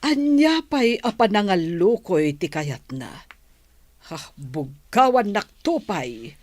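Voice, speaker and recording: A woman's voice: medium pitch, big pitch swings, takes it slowly, darkish tone, no room sound. An actress playing a cold, impatient, scornful woman in a radio drama, curt and disdainful. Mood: resentful. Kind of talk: Ilocano radio drama acting.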